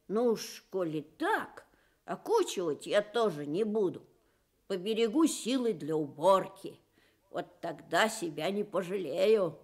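A lazy, boastful wild boar from a radio fairy tale, acted out by a woman. He muses slowly and smugly, with a very animated swing in the voice. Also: medium pitch. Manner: musing, boastful. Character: lazy, self-satisfied male wild boar.

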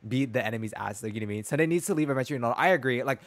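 A man, chatting casually as a game streamer in an expressive, sassy, slangy way. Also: mid-range voice, very fast. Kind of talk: casual chat and reaction to a story game. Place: small dim room indoors.

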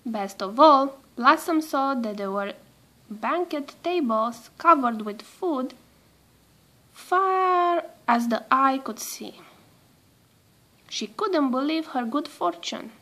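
Female storybook reader, high voice, slow careful delivery, expressive telling for young children. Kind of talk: read-aloud children's storytelling.

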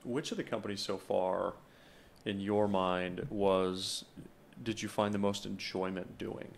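A man's voice: lowish voice, fairly even intonation, unhurried, gravelly, slight background noise. A man in his thirties hosting a podcast, asking interview questions in a friendly, eager way with frequent word repeats, at a desk mic in a small studio. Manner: friendly, enthusiastic, repeats words. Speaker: podcast host. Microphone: desk podcast microphone.

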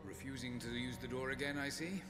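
An actor playing a gentle, doting father in a game cutscene, with a dreamlike reverb on his voice. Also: mid-range voice, steady tone, fairly quick. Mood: fond. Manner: gentle, affectionate. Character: tender, wistful father doting on his young daughter. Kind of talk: dramatic game cutscene acting. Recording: long echoing reverb under loud music.

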